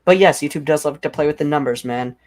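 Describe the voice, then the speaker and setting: A young man with a deep voice hosting a casual livestream, rambling and filling nearly every phrase with "like". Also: fast talker. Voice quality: self-described deep voice. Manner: rambling, heavy use of "like". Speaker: livestream host.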